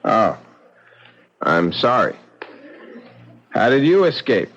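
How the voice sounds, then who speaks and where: A sardonic, reckless son. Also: male voice, medium pitch, sing-song, slow pace, a bit bright, heavily acted. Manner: cool, mocking. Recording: muffled old radio recording, hiss, off-mic.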